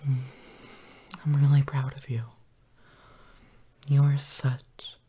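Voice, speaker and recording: A man in an intimate boyfriend roleplay, half-whispering tenderly and with gentle dominance, with soft hums, close to the microphone. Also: mid-range voice, completely flat delivery, takes it slowly, breathy edge. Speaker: audio roleplay performer.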